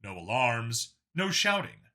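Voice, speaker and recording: A man's voice: medium pitch, sing-song, slow, bright, forceful tone. A man reading a science fiction story as a dramatic scripted narration. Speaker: audiobook narrator.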